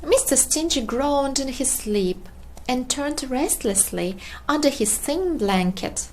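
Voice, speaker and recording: A woman reading a children's storybook aloud in an expressive, deliberate storytelling manner. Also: high voice, slight background noise. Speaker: storybook narrator.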